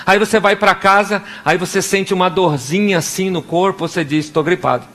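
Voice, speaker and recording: A young Brazilian man with a Brazilian Portuguese accent preaching a sermon as pastor, animated, acting out little scenes and prayers in different voices. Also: high-pitched, normal speed.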